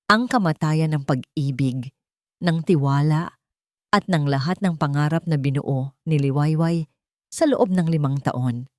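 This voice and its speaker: Female story narrator, low register, dry close sound, measured and solemn delivery. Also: darkish tone, dry recording. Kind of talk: scripted dramatic story narration.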